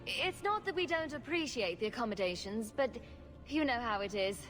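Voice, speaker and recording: A woman's voice: on the high side, expressive, moderate pace, fairly bright tone, soft background music. A wry female rogue. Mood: flippant. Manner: wry, flippant. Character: sarcastic, witty female rogue adventurer. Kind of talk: video game voice acting.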